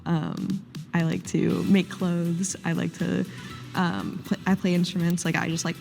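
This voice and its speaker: A woman in her twenties introducing herself hesitantly on a dating show, filling pauses with "like" and "um". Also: on the low side, flat delivery, creaky, a little mellow, soft background music. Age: 20s. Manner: hesitant, full of "like" and "um". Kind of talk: casual self-introduction.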